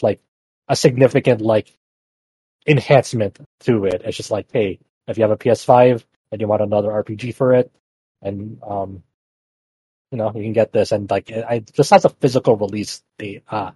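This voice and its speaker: A man's voice: on the low side, dark tone, slightly rough, no room sound, rough recording. A man co-hosting a gaming podcast in casual chat. He talks loosely, with many fillers. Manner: loose, filler-heavy, lots of "uh" and "like". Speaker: podcast co-host.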